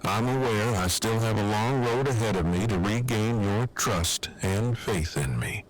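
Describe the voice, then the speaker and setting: A man acts out an earnest survivor in an audio drama. His voice sits fairly low, and he speaks in stiff, wordy, precisely worded sentences through a walkie-talkie radio. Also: a little slow, poor audio. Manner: stiff, verbose, precise diction. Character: formal, long-winded, earnest male survivor. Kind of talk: fan audio drama acting.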